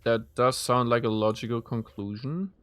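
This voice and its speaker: A man's voice: medium pitch, steady tone, normal speed, a bit bright, no room sound. A man streaming a video game, thinking aloud in a rambling way and chatting with his viewers. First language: German. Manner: answers chat messages as he plays. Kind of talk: casual live-stream chat while playing.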